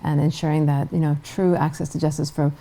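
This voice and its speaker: A middle-aged woman from Guyana, a human rights director, answering interview questions in a measured way with frequent restarts. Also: deep voice, little pitch movement, dark tone.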